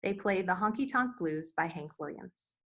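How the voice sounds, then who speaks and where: A woman's voice: lowish voice, lively intonation, normal speed, a bit bright. A woman narrator reading screenplay scene directions as scripted narration.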